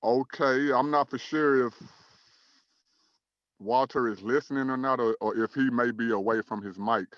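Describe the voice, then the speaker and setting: An earnest man in his thirties from Dallas, Texas, hosting an online talk show. He talks in a measured way with many "um"s, and his mid-pitched voice sounds dry and a little muffled. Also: steady tone, no echo. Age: 30s. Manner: measured, earnest, uses fillers like "um" and "uh". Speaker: host.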